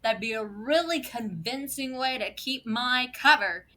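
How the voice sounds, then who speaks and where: An actress playing a blunt, sarcastic second-in-command in an audio drama, speaking emphatically. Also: female voice, on the high side, expressive, unhurried, pushed and bright. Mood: indignant, sarcastic.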